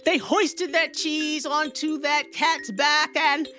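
A woman telling a story to children in an animated, rhythmic way, drawing out words with playful emphasis. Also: sits high, slow pace, faint music behind.

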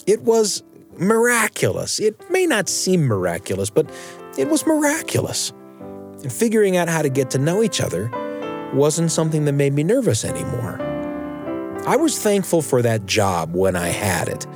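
Animated American man telling stories on radio. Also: a little high, moderate pace, over music. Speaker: radio show host and storyteller. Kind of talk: warm personal storytelling and show hosting.

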